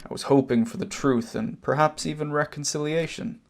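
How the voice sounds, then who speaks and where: An amateur actor with an Irish accent playing a wronged older brother back from abroad, telling his story in a deliberate, pointed way. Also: a little high, gravelly. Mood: reflective, hurt. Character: wronged older emigrant brother, cancer survivor, measured, bitter. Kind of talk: amateur stage drama acting, long monologue.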